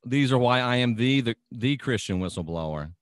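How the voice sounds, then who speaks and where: A man's voice: mid-range voice, animated, normal speed, no room sound, clean studio sound. An American man hosting a radio show, delivering an insistent, preachy monologue and stacking up repeated phrases for emphasis.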